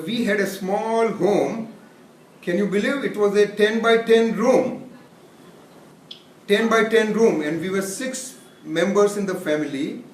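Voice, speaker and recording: A man in his seventies with an Indian accent, telling an animated story about the small home he grew up in. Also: high-pitched. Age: 70s. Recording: echoey room, mic at a distance.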